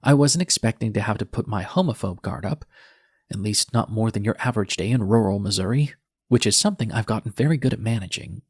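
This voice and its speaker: A man's voice: lowish voice, lively intonation, fast, breathy edge, no room sound, clean studio sound. Sardonic young male nurse, closely recorded actor reading. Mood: wry, bitter. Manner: sardonic, edgy, profane. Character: defiant, bitter young male ER nurse telling his story. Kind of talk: first-person audiobook narration.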